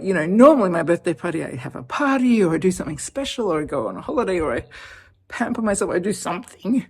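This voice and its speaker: A heavily pregnant woman in her fifties talking off the cuff in a personal vlog, chatty and animated, laughing often. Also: fairly low pitch, husky. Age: 50s. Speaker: vlogger.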